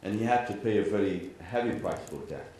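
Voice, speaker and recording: A man with an Iraqi Arabic accent, an imam giving a formal public address in deliberate, measured phrases. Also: sits low, a little mellow. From Iraq and Kuwait. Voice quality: frequent throat clearing. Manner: deliberate, measured phrasing with pauses and repeated parallel sentences. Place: large indoor venue, speaking to a gathering. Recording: very echoey hall, faint background noise.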